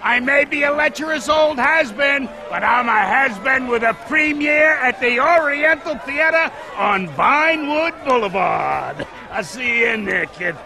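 A lecherous old film mogul, exuberant in a game cutscene. His voice is very high, with a crowd clearly behind. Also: animated, a little slow, few pauses, pushed and bright, exaggerated voice. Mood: triumphant. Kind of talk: video game cutscene acting.

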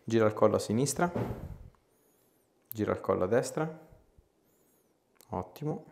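A man in his thirties working as a chiropractor, giving calm, casual, reassuring instructions and short questions to a patient. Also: lowish voice, measured pace, gravelly. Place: small clinic treatment room.

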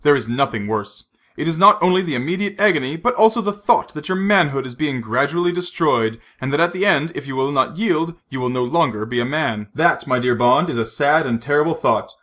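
A man reading a storybook, voicing a suave, menacing male villain who mocks his captive with unhurried threats. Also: on the high side, expressive, fairly bright tone. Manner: silky, mocking, unhurried threats.

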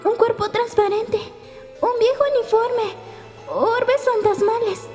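A woman voicing an eager, excitable first-year high school girl in a fan dub. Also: takes it slowly. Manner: eager, squealing. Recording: faint anime music behind.

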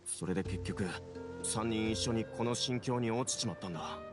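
A man's voice: fairly low pitch, normal speed, over music, natural voice. A man playing a stern, worried, protective big brother in a Japanese anime game. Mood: worried, heartfelt.

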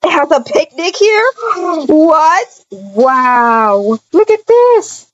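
A woman voicing an excitable, bubbly young woman in a game roleplay, gushing with breathy exclamations. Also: sits high, slow, heavily acted. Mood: excited, gushing. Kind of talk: improvised video game roleplay. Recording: dull, muffled lo-fi recording.

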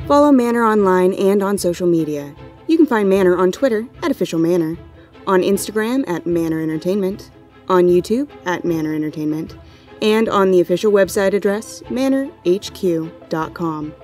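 A woman's voice: lowish voice, lively intonation, normal speed, darkish tone, soft background music. A woman reading a scripted promotional voice-over as an announcer.

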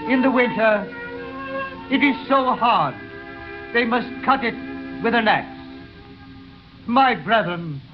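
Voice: medium pitch, lively intonation, slow, pauses often. An actor playing an earnest, compassionate bishop preaching solemnly in a 1930s radio drama. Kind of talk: 1930s radio acting, sermon. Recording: muffled 1930s radio recording, music behind.

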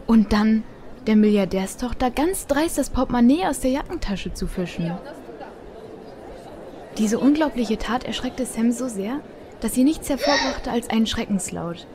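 A woman's voice: a little high, warm tone. A woman narrating a scripted story as the storyteller of an audio drama. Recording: faint background ambience.

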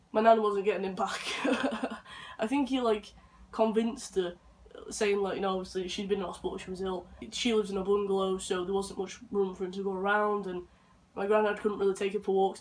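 Hoarse young Englishman chatting casually. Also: high-pitched. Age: young adult. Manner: chatty, rambling, talks softly to his dog. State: hoarse from flu and chest infection. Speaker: vlogger. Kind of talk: casual chat. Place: bedroom, indoors.

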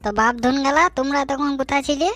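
Man doing a high-voiced bossy cartoon village lad. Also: fairly even intonation, fast, fairly bright tone, no room sound. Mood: impatient. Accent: rural West Bengal. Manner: bossy, teasing. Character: bossy young village man leading his friends. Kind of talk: comic cartoon dialogue.

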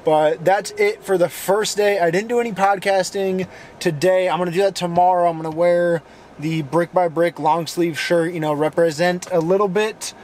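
A tired young man with a hoarse, fading voice, rambling casually through a vlog wrap-up with lots of fillers. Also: sits high, fairly even intonation, normal speed, darkish tone, a little hiss or hum. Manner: rambling, filler-heavy self-talk. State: hoarse, losing voice. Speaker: vlogger.

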